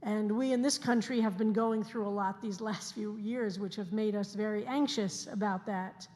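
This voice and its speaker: A woman's voice: mid-range voice, steady tone, a little mellow. Woman in her 60s preaching in a reverberant hall. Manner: earnest, slows down to stress key phrases. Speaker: rabbi. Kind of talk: sermon to a congregation. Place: synagogue sanctuary, livestreamed service.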